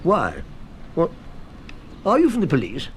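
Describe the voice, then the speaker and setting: An actor playing a defensive, irritable middle-aged British commuter husband in a radio drama, curt and evasive in manner. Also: male voice, on the high side, wildly swooping pitch, measured pace, slight background noise. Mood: wary, curt.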